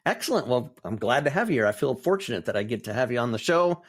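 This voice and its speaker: A man's voice: mid-range voice, sing-song, fast talker. Enthusiastic male podcast host. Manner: enthusiastic, rambling, warmly encouraging. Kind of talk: casual interview.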